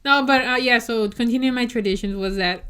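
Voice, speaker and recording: A woman's voice: fairly high pitch, brisk, a bit bright, clean tone. Giggly chatty woman, Spanish her first tongue. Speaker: podcast co-host. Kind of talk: casual chat.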